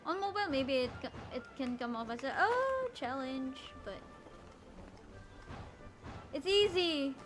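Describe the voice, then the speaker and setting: A woman casually commentating as she livestreams a game, playful, slangy and quick to react. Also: high voice, sing-song. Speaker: game streamer. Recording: game music under the voice.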